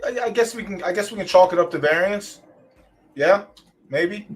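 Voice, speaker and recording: A man's voice: high-pitched, moderate pace, faint music behind. A man hosting a casual podcast chat, talking bluntly and venting with plenty of swearing. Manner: blunt, profane, fired-up venting. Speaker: host. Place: home streaming setup.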